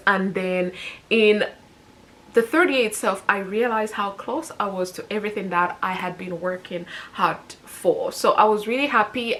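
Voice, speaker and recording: A woman in her thirties from the UK, talking casually to camera as a personal finance vlogger. Her voice sits at a middle pitch. She is chatty and upbeat, and often corrects herself as she goes. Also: fairly bright tone. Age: 30s.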